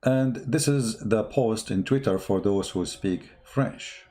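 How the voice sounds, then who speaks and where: A man's voice: lowish voice, slow, dark tone. A man who speaks English as a second language, giving impassioned off-the-cuff news commentary in an emphatic, repetitive way full of rhetorical questions. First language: not English.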